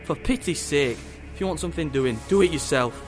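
A man's voice: on the high side, lively intonation, fairly quick, music in the background, close to an everyday voice. A man playing a smug, menacing government agent in an audio drama, speaking with condescending emphasis. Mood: sneering.

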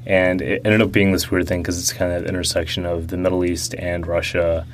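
A young man answering interview questions about growing up, talking slowly and reflectively with many fillers and restarts. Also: low voice, little pitch movement, a little hiss or hum.

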